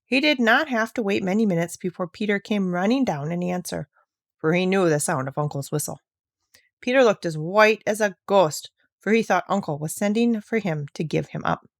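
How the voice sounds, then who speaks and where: A woman reads a children's storybook aloud. Her voice sits at a medium pitch, with careful narrative phrasing. The recording is dry, with little room sound. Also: moderate pace, gravelly, no echo. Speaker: narrator, reader. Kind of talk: scripted storybook read-aloud.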